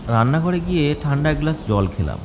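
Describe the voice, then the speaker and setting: A man's voice: fairly high pitch, expressive, fast talker, background noise. A man narrating a scripted first-person horror story in a dramatic, suspenseful way. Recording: loud music and rain behind. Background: music and rain sound effects.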